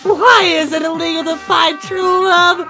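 A woman voicing a high, melodramatic young male hedgehog hero. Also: steady tone, a little slow, pushed and bright, faint music behind, full character voice. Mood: pleading. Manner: declamatory, earnest speech-making. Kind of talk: amateur comic dub.

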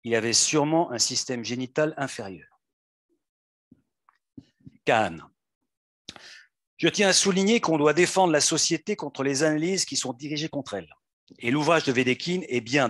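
A man at a public event reading texts aloud into a handheld microphone in a conference room, in a measured, deliberate way. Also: mid-range voice, expressive, a bit bright, no room sound, clean studio sound. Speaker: reader.

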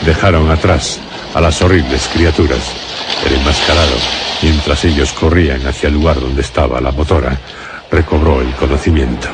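A man narrating a radio horror drama as a grave storyteller, solemn and deliberate, with a Castilian accent. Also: a bass voice, fairly even intonation, very noisy. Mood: grave suspense. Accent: Castilian Spanish. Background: music.

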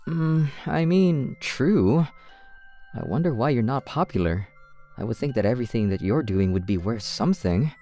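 A woman's voice: extremely low pitch, moderate pace. A woman voicing an eager, easily flustered teenage otaku schoolboy in a manga romcom dub, sometimes hesitant and stammering. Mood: hesitant, earnest. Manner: excitable, often stammering and hesitant. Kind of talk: manga dub acting with first-person narration. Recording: music behind the voice.

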